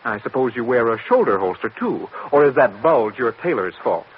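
An actor plays a haughty, waspish male hat clerk from 1940s radio. His speech is formal and prissy with lively swings of pitch, on a dull, slightly noisy old recording. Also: normal speed, lightly acted. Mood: sarcastic, unimpressed. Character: snooty, prissy, sarcastic hat store clerk.

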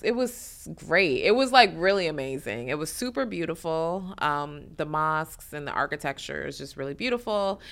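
A woman's voice: on the low side, fairly bright tone, clear, resonant tone. A woman, a guest chatting casually in an interview, laughing often and full of "like" and "um". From Detroit, USA.

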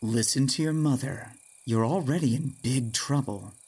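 A woman's voice: a bass voice, normal speed, dark tone, husky. A woman playing a possessed, sneering, falsely sweet father who sold out to demons, in a horror-comedy audio drama. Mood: menacing. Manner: sneering, saccharine, theatrical.

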